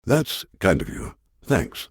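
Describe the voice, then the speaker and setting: A man's voice: slow, dry recording, studio quality, lightly acted. A man playing a world-weary retired war correspondent, older and wry, muttering to himself in an audio drama. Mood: polite, reserved. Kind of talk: audio drama acting, musing aloud.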